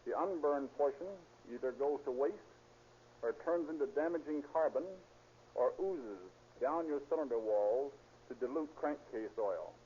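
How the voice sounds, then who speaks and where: A man's voice: mid-range voice, a little mellow, slight background noise. 1930s radio engineer, man, muffled recording. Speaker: petroleum engineer, guest. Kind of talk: scripted 1930s radio testimonial.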